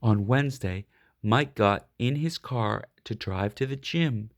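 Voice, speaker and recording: A man reading a children's picture book aloud expressively, leaning on key words with deliberate, dramatic emphasis. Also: mid-range voice. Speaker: storybook reader.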